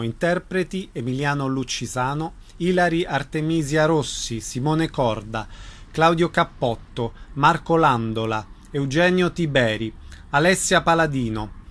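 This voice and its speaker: A man's voice: fairly high pitch, a bit bright. A man presenting as a radio host, reading scripted announcements.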